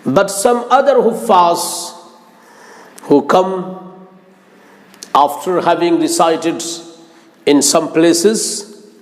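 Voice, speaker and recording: Slow, high-pitched man preaching. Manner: deliberate, emphatic, repeats phrases. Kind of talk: instructive religious lecture. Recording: long hall-like reverb.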